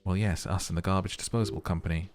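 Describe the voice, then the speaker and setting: A man's voice: low voice, steady tone, fast talker, natural voice. A man voicing a male hostel manager who is curt, sarcastic and easily irritated. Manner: curt and mocking.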